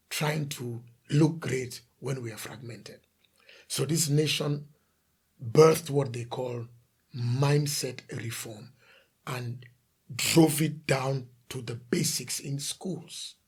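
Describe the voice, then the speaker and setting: Gravelly, husky male pastor preaching. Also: medium pitch, slow. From The Gambia. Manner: emphatic preaching, rhetorical questions, lists of repeated phrases. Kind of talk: sermon.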